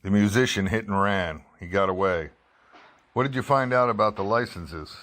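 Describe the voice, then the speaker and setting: An actor playing a hard-boiled, world-weary investigative reporter in an old-style radio drama, clipped, wry and matter-of-fact. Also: male voice, on the low side, moderate pace, fairly bright tone, slight background noise, close to an everyday voice. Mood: assured. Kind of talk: old-time radio detective drama acting and narration.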